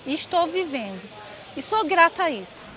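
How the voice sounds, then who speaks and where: A woman's voice: very high voice, very animated, normal speed. A woman from Northeast Brazil gives a reflective, earnest testimony for a documentary. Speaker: interviewed resident and mother. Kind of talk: documentary interview.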